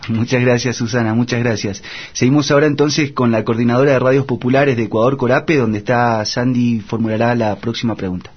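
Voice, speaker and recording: A man moderates a radio discussion with a husky voice, introducing each speaker formally. The sound is slightly dull and muffled. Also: medium pitch, fairly even intonation, a little fast, hardly any pauses. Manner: formal, announcing.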